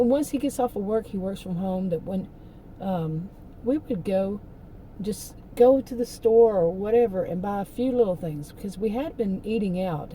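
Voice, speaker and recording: A middle-aged woman, hoarse from losing her voice, rambling and venting in a casual vlog from a parked car. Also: fairly low pitch, warm tone, slight background noise, poor audio. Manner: rambling, venting, with many restarts. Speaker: vlogger.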